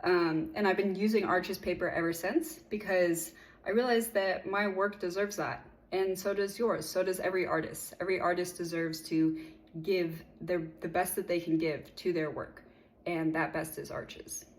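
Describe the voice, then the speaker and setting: A woman's voice: lowish voice, steady tone, normal speed, poor audio. A young woman from California with an American accent, an artist giving a friendly, conversational sponsored testimonial with occasional fillers.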